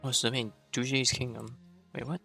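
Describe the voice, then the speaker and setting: Gravelly young man thinking aloud. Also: medium pitch, flat delivery, a little fast, bright, forceful tone, soft background music. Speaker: streamer. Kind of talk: casual gameplay commentary.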